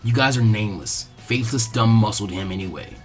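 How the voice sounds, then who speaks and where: A man voicing a cold, stoic, calculating ninja boy with a dry deadpan delivery in a story reading. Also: on the low side, a little fast, faint music behind, pitched below the performer's own voice, lightly acted. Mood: cold threat.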